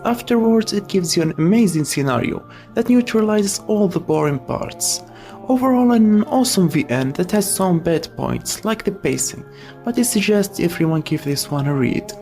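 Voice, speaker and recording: Gravelly man narrating with lively pitch. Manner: opinionated, reviewing games. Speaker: video essay narrator. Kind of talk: scripted commentary narration.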